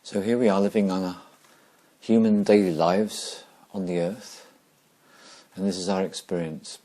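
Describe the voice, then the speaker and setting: A man leading a guided meditation slowly and gently, pausing between short phrases. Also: low-pitched, fairly even intonation.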